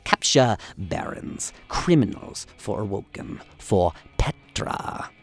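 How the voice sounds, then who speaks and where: A man voicing an earnest, humble alien warden in a radio play, speaking in halting, clipped, broken phrases. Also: fairly low pitch, big pitch swings, creaky, slightly rough, faint music behind, exaggerated voice. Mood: probing. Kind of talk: radio play character acting.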